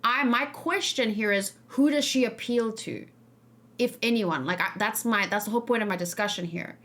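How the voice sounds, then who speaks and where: Female streamer, fairly high voice, casual and rambling, reacting and discussing off the cuff. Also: normal speed, a bit bright. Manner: rambling, thinking aloud, hesitant. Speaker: streamer host.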